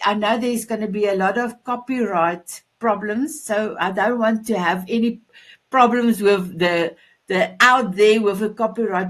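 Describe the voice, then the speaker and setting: A woman with a South African Afrikaans accent hosting a talk show in a gushing, affectionate, halting way. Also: medium pitch. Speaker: show host.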